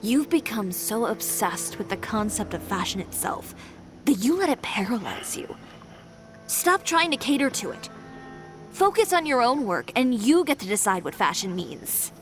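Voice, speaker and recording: An actress playing a composed, sharp-tongued fashion designer in a video game, cool, cutting and self-assured. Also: female voice, on the high side, big pitch swings, moderate pace, faint music behind. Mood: sardonic.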